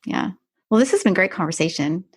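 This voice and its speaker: A woman hosting a conversational business podcast interview, agreeable and quick to affirm what her guest says. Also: lowish voice, expressive, slightly rough, no room sound, clean studio sound. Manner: agreeable, frequent short affirmations. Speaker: podcast host.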